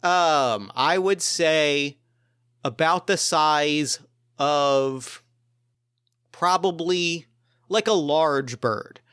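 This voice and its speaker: A man runs a tabletop game as game master in a clean, resonant voice that sits a little high. The sound is dry and close. He narrates casually and improvises, thinking aloud in colloquial phrasing. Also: extremely slow, pauses often, fairly bright tone, smooth, dry recording.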